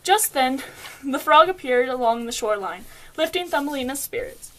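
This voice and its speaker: A woman's voice: high-pitched, big pitch swings, moderate pace, a bit bright, poor audio. A young woman reading scripted storybook narration, occasionally stumbling over her words. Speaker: puppet show narrator.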